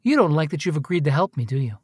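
A self-righteous male detective in a radio drama. His voice sits on the high side and is recorded dry. He asks clipped, insinuating questions and keeps needling. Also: sing-song, quick pace, slightly rough, no echo. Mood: needling, accusing. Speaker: actor in a radio play.

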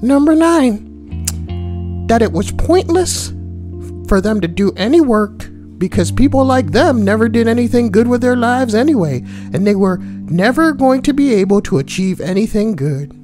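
A man's voice: very high voice, animated, moderate pace, a little mellow, soft background music. A man voicing a brash, foul-mouthed schoolboy, reading posts for comedy and adding slangy, mocking asides. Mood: sardonic, casual. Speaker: host reading forum posts. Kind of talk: comedic reading with crude commentary.

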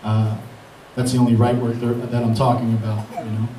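A man's voice: medium pitch, completely flat delivery, a little fast, warm tone, slight background noise. A man, a beat producer, giving a rambling, grateful and self-deprecating speech on a mic at a live event. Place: event hall with an audience. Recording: echoey hall mic from far off.

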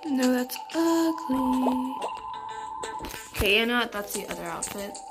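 Young girl, casual rambling, talks to viewers, fairly high voice, game music behind. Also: animated, a little slow. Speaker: channel creator. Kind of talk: casual gameplay chat.

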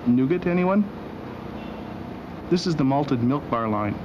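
A man narrating a scripted documentary as host, with a wry, deadpan sense of humor. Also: fairly high pitch, unhurried. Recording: dull old video soundtrack with machinery noise.

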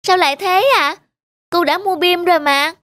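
A woman's voice: very high-pitched, moderate pace, a bit bright. A woman playing an affectionate, earnest little village boy in a cartoon, with a childlike, pleading manner. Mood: surprised, grateful. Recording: music behind the voice.

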